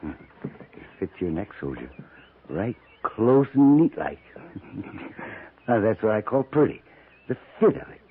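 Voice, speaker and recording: A thin, noisy old radio recording of a menacing buffalo skinner. His low voice drawls in folksy frontier talk, swinging widely in pitch as he gloats and chuckles over his threats. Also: sing-song, measured pace, pauses often, husky, narrow, tinny audio, full character voice. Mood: quiet threat. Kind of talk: 1950s western radio acting.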